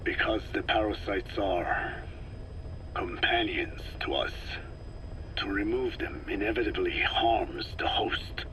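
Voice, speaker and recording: A man playing an elderly, grave scientist in a video game, explaining things slowly and formally in a breathy, whispery voice. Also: on the low side. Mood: grave, measured. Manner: slow, deliberate, formal explanations. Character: elderly male scientist, frail, grave and measured. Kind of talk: dramatic video game voice acting. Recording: noisy field tape with steady hum.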